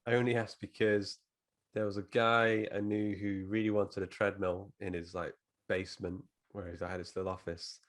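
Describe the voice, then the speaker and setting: Low-voiced man, chatty host. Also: little pitch movement, normal speed. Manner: chatty, laughs while telling stories. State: coughing. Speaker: podcast host. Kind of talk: casual chat.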